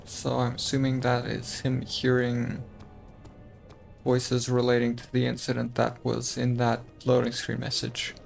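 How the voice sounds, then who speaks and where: A man's voice: mid-range voice, completely flat delivery, measured pace, vocal fry, faint music behind. A man streaming a game, chatting casually, thinking aloud and talking to his chat. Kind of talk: casual gameplay commentary.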